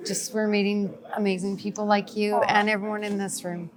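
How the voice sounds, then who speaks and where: Even-toned woman amid crowd chatter. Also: mid-range voice, brisk. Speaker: gallery owner and artistic director, interview guest. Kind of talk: casual interview answers. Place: busy art gallery reception. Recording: busy crowd chatter behind.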